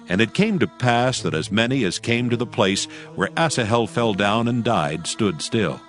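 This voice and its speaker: A man narrating a dramatized scripture audiobook with solemn, measured phrasing. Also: on the low side.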